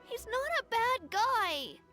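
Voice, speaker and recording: Earnest, high-voiced little boy. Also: very animated, takes it slowly, full character voice. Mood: suspicious, pleading. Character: earnest little village boy, loyal and stubborn. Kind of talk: video game character voice acting. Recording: game music behind. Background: game music.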